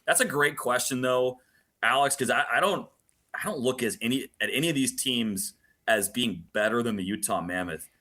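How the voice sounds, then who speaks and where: A man's voice: medium pitch, moderate pace, sharp tone. A man speaking as a play-by-play announcer giving detailed, emphatic analysis in a sports radio interview.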